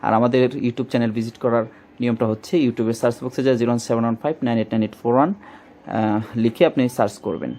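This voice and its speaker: A man's voice: medium pitch, fairly even intonation, rapid-fire, darkish tone. A man with a Bangladeshi accent giving an informal product demonstration as a shop presenter, repeating himself and searching for words. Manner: repetitive, searching for words with filler placeholders. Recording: faint background noise.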